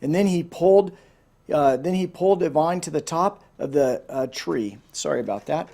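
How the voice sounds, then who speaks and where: Higher-voiced man reading a storybook aloud. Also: normal speed, vocal fry, dark tone. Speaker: storybook reader. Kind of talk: expressive children's read-aloud storytelling with asides.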